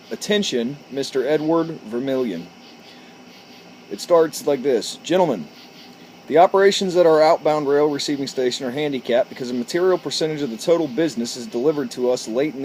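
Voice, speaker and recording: A man talking casually and reading aloud as a vlogger, informal and a little halting between passages. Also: on the high side, darkish tone, a little hiss or hum, low-quality recording. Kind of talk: casual storytelling to camera, reading letters aloud.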